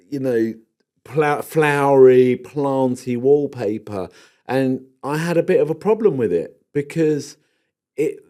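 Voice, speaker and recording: An English man with a soft-edged, mellow voice at an average pitch. He co-hosts a podcast and speaks casually. His talk rambles along, circling and digressing as he goes. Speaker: podcast co-host. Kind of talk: casual chat.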